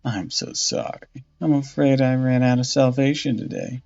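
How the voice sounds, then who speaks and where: A man voicing a vengeful undead female saint who taunts her killer slowly and coldly. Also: medium pitch, fairly even intonation, vocal fry, darkish tone, pitched above the performer's own voice, close to an everyday voice. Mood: cruel. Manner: slow, cold, mocking, theatrical. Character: vengeful undead woman saint, cold and mocking. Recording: dull lo-fi audio with faint music.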